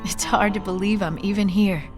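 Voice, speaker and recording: A woman's voice: mid-range voice, steady tone, moderate pace, music in the background, close to an everyday voice. A woman playing an earnest, frank doctor and scientist in a video game, speaking articulately. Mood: frank, grateful. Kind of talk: video game cutscene dialogue.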